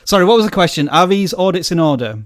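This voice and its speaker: Chatty man, slightly high voice. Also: animated, quick pace, dry recording, studio quality. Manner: rambling, self-deprecating, apologetic. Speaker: host. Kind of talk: live-stream Q&A chat.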